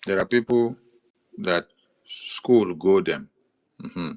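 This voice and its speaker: A man with a Nigerian accent giving an explanatory monologue, listing items in a steady, repetitive rhythm with occasional hesitations. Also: sits low, takes it slowly. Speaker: commentator presenting a map.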